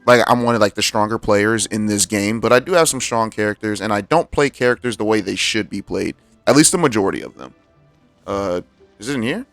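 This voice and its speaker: A man's voice: fairly low pitch, a little fast, a bit bright, dry recording. An American man giving slangy, joking live commentary on a game, talking back to it casually. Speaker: game streamer. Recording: music under the voice.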